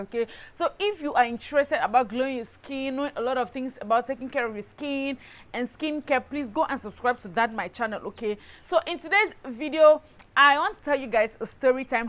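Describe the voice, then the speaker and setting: High-voiced Cameroonian woman, animated and chatty. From Cameroon, southwest region. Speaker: lifestyle YouTuber, host. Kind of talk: casual story-time vlog chat.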